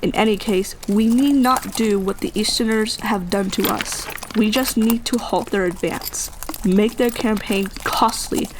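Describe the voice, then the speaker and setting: A woman's voice: medium pitch, unhurried, noisy background, lightly acted. A woman playing an earnest, self-doubting young village woman in an audio drama, with a hesitant way of talking. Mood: earnest reasoning.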